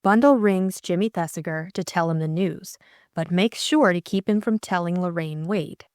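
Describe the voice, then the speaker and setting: Lower-pitched female audiobook narrator. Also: normal speed, a little mellow, dry recording, clean studio sound. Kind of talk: scripted story narration.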